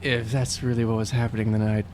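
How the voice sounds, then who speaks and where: A man playing a tabletop game, thinking aloud in halting, casual in-character discussion. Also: lowish voice, little pitch movement, a little mellow. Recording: faint hum, slight background noise.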